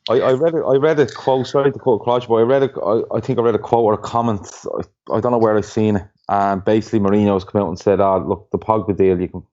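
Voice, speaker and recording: A man hosting a casual football podcast, relaxed and loose as he guides the talk. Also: lowish voice, fast talker. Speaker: podcast host. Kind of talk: casual chat. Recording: dull lo-fi podcast audio.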